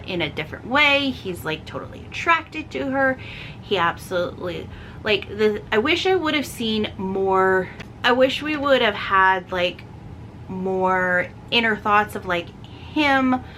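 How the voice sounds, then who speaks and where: A woman with a bright, forward voice talks casually as a book reviewer on her vlog. She is enthusiastic and emphatic, often repeating words for stress. There is noticeable noise behind her. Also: mid-range voice, poor audio. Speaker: book reviewer and video host.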